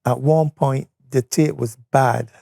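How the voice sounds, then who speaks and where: Barbadian man, seventies, creaky mellow voice, close dry studio sound, unhurried reminiscence. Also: medium pitch, steady tone, dry recording. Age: 70s. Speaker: interview guest, retired or veteran educator. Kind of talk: conversational reminiscence.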